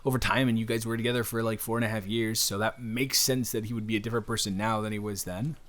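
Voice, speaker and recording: A man's voice: fairly low pitch, steady tone, quick pace, a bit bright, natural voice. A man improvising an audio roleplay as a friendly, slightly awkward young stranger, talking casually and earnestly to the listener with stammered restarts. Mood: gentle, tentative.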